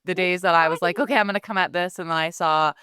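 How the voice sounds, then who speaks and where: A woman's voice: lowish voice, fairly even intonation, fast talker, fairly bright tone, clean tone, no echo, studio quality. A woman in her thirties who runs a skincare business, chatting casually on a podcast and acting out her own thoughts in little quotes.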